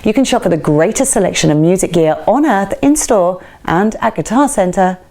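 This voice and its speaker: A woman presenter reading a scripted radio-style feature in a measured, presenterly way. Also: mid-range voice, animated, dark tone. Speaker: presenter of a rock music feature.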